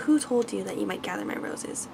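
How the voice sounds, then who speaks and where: A woman voicing an angry, commanding male beast in a bedtime storybook, with stern, formal speech, reading softly for ASMR. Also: medium pitch, huge pitch swings, brisk, creaky, noisy background, full character voice.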